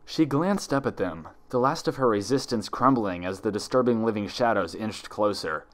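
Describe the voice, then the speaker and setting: A man reading dark fan fiction aloud as dramatic, scripted horror narration. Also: lowish voice, normal speed.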